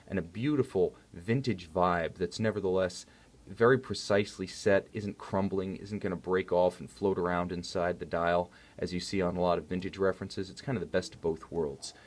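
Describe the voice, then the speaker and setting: A man presenting a product review in an articulate, enthusiastic way, with technical detail and emphasis on key words. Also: sits low. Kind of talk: detailed product review commentary, semi-improvised.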